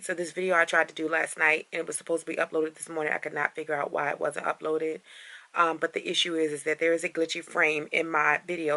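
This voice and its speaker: Raspy, low-voiced woman vlogging from bed. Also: bright, forceful tone. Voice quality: raspy deep morning voice. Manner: rambling, many fillers and restarts. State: tired after a night out. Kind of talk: casual vlog chat.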